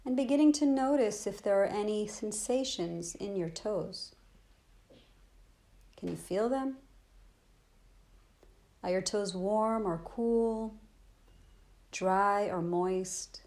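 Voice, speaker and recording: A woman teaching a guided body scan meditation in a gentle, soothing, unhurried manner with long pauses. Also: mid-range voice.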